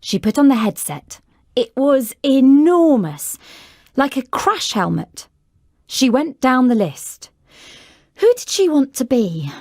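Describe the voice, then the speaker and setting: A woman narrating a children's story in a clear storytelling style. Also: high-pitched, big pitch swings, slow pace, darkish tone, dry recording, clean studio sound.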